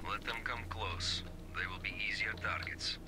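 A man's voice: fairly low pitch, moderate pace, in a whisper, close to an everyday voice. A man voicing a soldier in a game who gives orders to the squad. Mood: commanding. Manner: commanding. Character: male soldier ally giving orders. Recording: game audio under noise.

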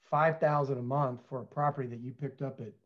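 A man's voice: mid-range voice, fairly even intonation, brisk. A man in his fifties discussing business casually as a panelist on a show, over a video call. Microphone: headset on video call. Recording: dull, lo-fi video call audio.

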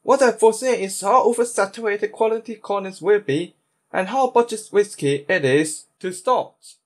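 A man's voice: sits high, normal speed, voice pushed up, close to an everyday voice. A man voicing an earnest, boyish young warrior from a video game.

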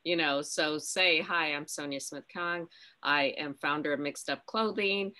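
A middle-aged woman on a video call, giving relaxed, conversational advice. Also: sits low, unhurried. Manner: relaxed, conversational, many fillers. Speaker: business owner, panelist. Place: home room, indoors.

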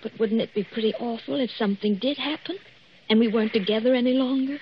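An actress playing a young American bride, earnest and nervous, in the manner of 1940s radio drama. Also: female voice, fairly high pitch, quick pace, slight background noise, close to an everyday voice. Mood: uneasy. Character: young American bride, happy but anxious. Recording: muffled vintage radio recording with music.

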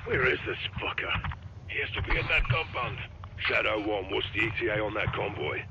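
A man's voice: on the low side, lively intonation, brisk, creaky, noisy background. A man acting a hard, profane special forces field operator, giving terse radio callouts in a combat game. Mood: gruff, tense. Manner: terse, commanding, clipped callouts. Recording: muffled radio amid loud gunfire and vehicles.

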